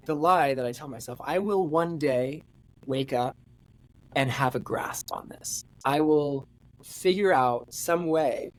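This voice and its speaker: A man in his late twenties, a podcast guest talking candidly in a reflective, halting way with many fillers and restarts. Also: a little high, no echo. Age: 20s. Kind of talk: candid conversational interview.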